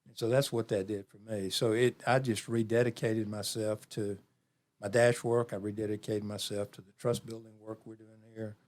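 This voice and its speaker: A man in his seventies talking reflectively as an interview guest, measured, with pauses and phrases repeated for emphasis. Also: lowish voice, level tone, darkish tone. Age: 70s. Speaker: interview guest, community program leader.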